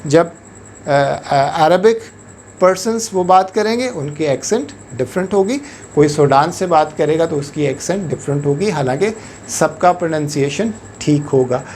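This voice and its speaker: A man's voice: a little high, animated, darkish tone, a little hiss or hum. A man from Pakistan, an English teacher giving a patient instructional talk and switching often into English. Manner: measured, patient explaining, switching often into English words and phrases.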